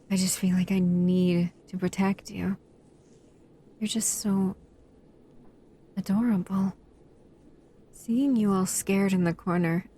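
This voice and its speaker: Casting: actress as female shadow monster for intimate roleplay audio. Unhurried, mellow, soothing, powerful and possessive but tender, slipping toward whispers. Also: mid-range voice, breathy edge. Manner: soft, soothing, hesitant, often dropping into whispers. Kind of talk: intimate scripted roleplay audio addressed to the listener.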